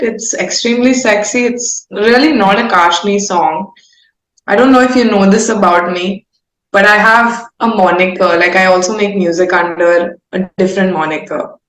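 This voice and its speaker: A woman's voice: medium pitch, moderate pace, a bit bright, a little hiss or hum. Young Indian-accented woman in a roomy space. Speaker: singer-songwriter being interviewed. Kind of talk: casual interview conversation. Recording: roomy, echoing sound.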